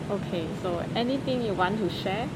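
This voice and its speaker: A woman interviewer asking short questions and giving quick acknowledgments in a casual chat. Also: medium pitch, poor audio.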